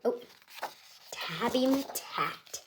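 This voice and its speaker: A woman's voice: fairly high pitch, animated, extremely slow, noisy background. A woman hosting her own channel, reading a picture book aloud to children in a cheerful, chatty, singsong storytelling way.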